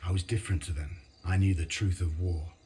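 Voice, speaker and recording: An actor playing a weary, guarded war veteran in a video game, speaking gravely and with measured delivery. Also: male voice, low voice, steady tone, warm tone, a little hiss or hum, lightly acted. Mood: brooding. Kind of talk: dramatic game dialogue and reflective narration.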